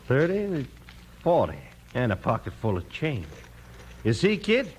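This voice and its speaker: An actor playing a slick, bullying cowboy outlaw leader in 1950s radio western style, full of folksy slang. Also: male voice, mid-range voice, wildly swooping pitch, a little slow. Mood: smug, persuasive. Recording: noisy old 1950s radio recording.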